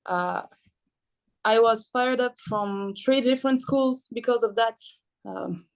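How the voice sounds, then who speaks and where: A young woman from Belgium, a guest athlete telling her story in a casual, animated interview, laughing often. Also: fairly high pitch, slow, gravelly. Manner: animated, laughs often, non-native phrasing.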